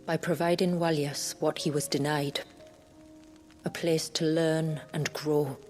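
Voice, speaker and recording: An actress playing a calm, proud, protective warrior guardian in a fantasy game, speaking formally and deliberately. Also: female voice, deep voice. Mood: tender resolve. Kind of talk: cinematic fantasy game acting.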